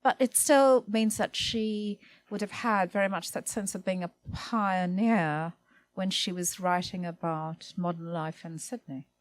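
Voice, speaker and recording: A woman with a slightly low voice discusses ideas on a panel. She sounds reflective and informal, with a halting, tentative way of putting her points together. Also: fairly even intonation, no echo, poor audio. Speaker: panel speaker.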